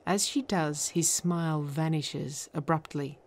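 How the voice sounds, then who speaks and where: A woman reading scripted narration and stage directions in a measured, deliberate manner. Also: low-pitched.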